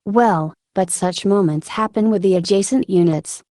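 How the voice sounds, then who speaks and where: Female combat medic, steadfast soldier and mother played by a woman: mellow, conversational interview voice-over, halting delivery, dry studio-like sound. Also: medium pitch, no echo, lightly acted. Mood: conversational, steady. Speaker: dubbing voice of an interviewee. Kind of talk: interview answers in translated voice-over.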